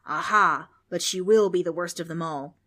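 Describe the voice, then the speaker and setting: A woman putting on a gruff mocking male ghost. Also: on the low side, fairly even intonation, fairly quick, close to an everyday voice. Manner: loud, scornful, folksy.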